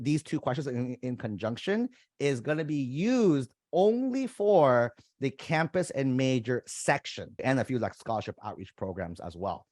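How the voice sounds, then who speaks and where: A man's voice: mid-range voice, no room sound, clean studio sound. A young man, a college admissions coach whose first language is Vietnamese, talks viewers casually through an online application step by step. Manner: casual, conversational, addresses viewers as "you guys". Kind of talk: screen-share walkthrough tutorial.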